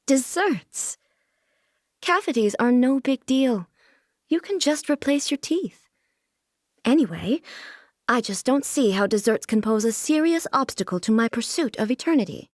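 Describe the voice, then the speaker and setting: Regal, aloof female shogun, fairly high voice. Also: animated, dry recording, clean studio sound. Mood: light, stubborn. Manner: formal, measured, stately delivery. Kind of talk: video game character voice lines.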